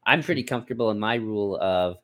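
A man's voice: lowish voice, expressive, quick pace, a bit bright. A man co-hosting a podcast in casual discussion, rambling and hesitant, often circling back over his phrases. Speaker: podcast co-host.

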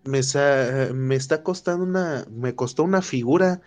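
A man's voice: mid-range voice, moderate pace, a little mellow. A man with a Mexican Spanish accent talking casually in a group chat. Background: music.

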